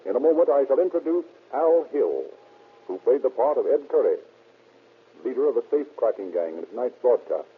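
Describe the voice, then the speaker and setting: A man's voice: mid-range voice, animated, fairly quick, breathy edge. A man hosting as a 1930s radio announcer, with a formal, salesmanlike delivery. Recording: muffled, narrow-band old radio recording.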